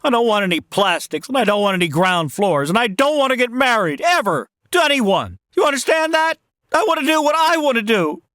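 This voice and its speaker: An actor playing an earnest, hot-headed young small-town man with a halting, folksy delivery in an old-time radio drama. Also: very high-pitched, big pitch swings, pushed and bright, no room sound, studio quality, heavily acted. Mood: heated.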